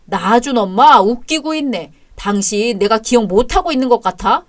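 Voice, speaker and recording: A woman's voice: fairly high pitch, animated, normal speed, lightly acted. Woman voicing a furious, cold young husband. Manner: cold, harsh, commanding.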